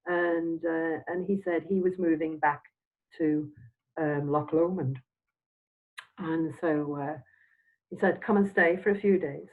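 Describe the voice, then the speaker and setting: An older woman from Scotland, a semi-retired minister, reminiscing in a halting, meandering, reflective way as an interview guest. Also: deep voice, steady tone. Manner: halting, meandering delivery with trailing phrases. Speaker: interviewed Spiritualist minister and medium. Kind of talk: reflective, anecdotal chat. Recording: dull, phone-like audio.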